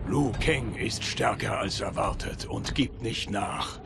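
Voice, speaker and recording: An actor playing a solemn, loyal guardian of time in video game dialogue, formal, deliberate and grave. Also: male voice, on the low side, gravelly, heavily acted. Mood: solemn, measured. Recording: music behind the voice.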